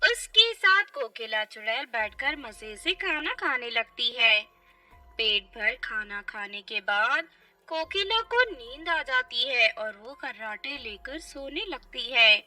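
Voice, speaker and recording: A woman's voice: on the high side, animated, takes it slowly, pushed and bright, faint music behind. A woman telling a scripted cartoon story as its narrator.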